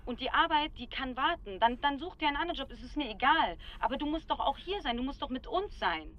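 Worried sister for radio drama: urgent, insistent, raised pitch, light background ambience. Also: female voice, lively intonation, quick pace, muffled recording. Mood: exasperated, urgent. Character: anxious, insistent sister pleading with her brother. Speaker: sister on a phone call. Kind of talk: naturalistic radio drama acting. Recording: faint background noise.

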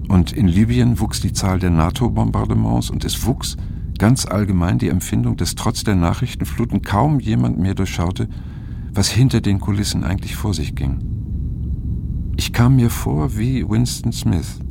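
An actor playing a brooding, disillusioned narrator in a radio drama, speaking reflectively and somberly with a gravelly voice. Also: male voice, lowish voice, moderate pace, background noise. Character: brooding, disillusioned male narrator recalling troubling times. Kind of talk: scripted first-person radio drama narration.

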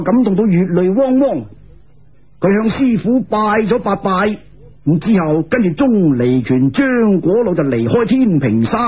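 A male storyteller with a Cantonese accent narrates folk tales in a colloquial, expressive way. His rather high voice is very rough and swings widely in pitch. The recording is dull and lo-fi. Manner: colloquial, expressive, with conversational particles.

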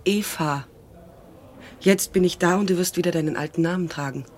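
An actress playing an insistent middle-aged mother who survived the war, speaking gravely and with measure in a radio drama. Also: on the low side, normal speed, a little mellow, slight background noise, close to an everyday voice. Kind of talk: dramatic radio play dialogue.

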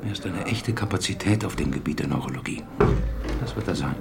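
Deep, gravelly, reassuring doctor. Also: male voice, brisk, a crowd in the background. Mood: reassuring, calm. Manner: calm, reassuring, matter-of-fact. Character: reassuring older physician, professor and old friend of patient. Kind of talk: audio drama acting.

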